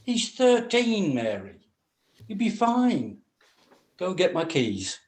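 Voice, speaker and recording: A man plays a callous stepfather in a drama script read. His voice swings widely in pitch and sits a little high, and he drags out his scornful orders in gruff exasperation. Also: wildly swooping pitch, slow, darkish tone, full character voice. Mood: exasperated, gruff. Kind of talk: actor's script table read.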